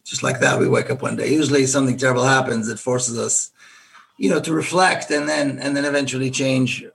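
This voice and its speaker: A man's voice: mid-range voice, fairly even intonation, brisk, creaky, fairly bright tone, a little hiss or hum. A middle-aged man from Israel, an author talking as a guest in a podcast interview, reasoning aloud with frequent restarts. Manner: thoughtful, repeats and restarts while reasoning. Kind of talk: podcast interview, earnest explaining.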